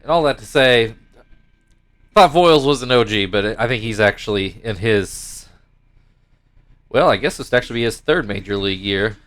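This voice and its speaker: A man's voice: lowish voice, animated, moderate pace, long pauses, fairly bright tone. Stuffy-nosed man calling a video game. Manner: rambling and self-correcting, mock play-by-play calls. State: stuffy nose. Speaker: gaming YouTuber commentating his own baseball game league. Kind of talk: casual play-by-play game commentary.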